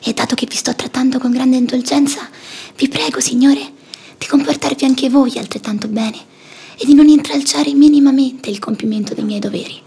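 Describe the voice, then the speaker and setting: An actor playing a smug, unctuous bailiff in a radio drama, husky and breathy, with mock-polite, insinuating manner. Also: male voice, very high voice, fairly even intonation, moderate pace, darkish tone, heavily acted. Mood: smug politeness. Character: smug, unctuous bailiff serving an eviction order.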